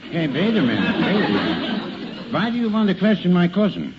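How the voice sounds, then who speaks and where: A man's voice: high-pitched, huge pitch swings, moderate pace, a crowd in the background. An actor playing a cheerful Scandinavian immigrant working man who talks folksy, emphatic broken English in a radio comedy. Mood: earnest explaining. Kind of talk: 1950 radio comedy acting. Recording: noisy muffled vintage radio recording.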